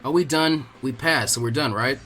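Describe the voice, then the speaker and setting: A man's voice: medium pitch, normal speed, bright, forceful tone, faint music behind, voice pushed down, natural voice. A man voicing a lazy, bored young boy ninja in an offhand drawl.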